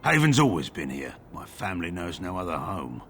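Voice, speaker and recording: Very deep, curt village guard. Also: male voice, big pitch swings, brisk, a bit bright, a little hiss or hum. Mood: guarded, firm. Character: terse, suspicious adult male village guard in armour. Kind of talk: video game dialogue acting.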